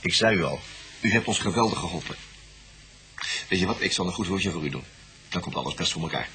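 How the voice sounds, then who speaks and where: A brisk police detective in a radio play. He talks fast in a mid-pitched voice with a sharp, forward edge. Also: lively intonation, exaggerated voice. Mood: reassuring. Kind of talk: radio drama acting.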